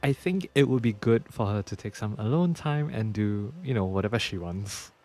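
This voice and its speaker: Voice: medium pitch, animated, normal speed. A game streamer giving casual, conversational reactions while playing. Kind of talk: casual gameplay reaction commentary. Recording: game music behind the voice.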